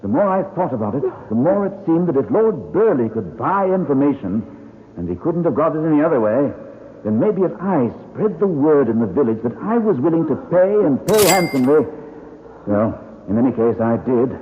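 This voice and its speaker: An actor playing a steadfast, tender husband and gentleman hero in an earnest old radio mystery style. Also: a little high, big pitch swings, fairly quick. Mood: earnest, reassuring. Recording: narrow-band, noisy vintage radio.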